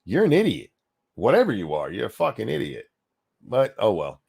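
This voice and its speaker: A man hosting a casual live stream, ranting in a crude, sarcastic way with loud outbursts. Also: fairly low pitch, wildly swooping pitch, moderate pace, vocal fry, breathy edge. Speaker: stream host. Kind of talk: casual profane ranting chat.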